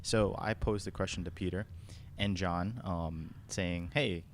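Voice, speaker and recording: Male co-host, low voice, halting informal delivery, slight background noise. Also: fairly even intonation. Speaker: podcast co-host. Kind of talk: casual podcast chat.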